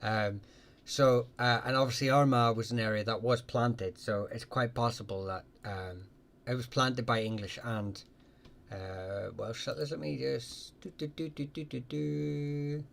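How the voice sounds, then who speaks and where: A man's voice: mid-range voice, vocal fry, fairly bright tone, slight background noise. Hesitant man hosting a live history chat. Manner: halting, many fillers and restarts. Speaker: livestream host answering viewer questions.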